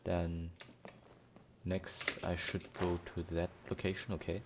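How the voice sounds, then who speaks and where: Deep-voiced man rambling over gameplay. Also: monotone, a little slow, noisy background. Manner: rambling, thinking aloud. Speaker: gameplay commentator. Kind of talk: casual gameplay commentary. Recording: game music loud behind. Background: game music.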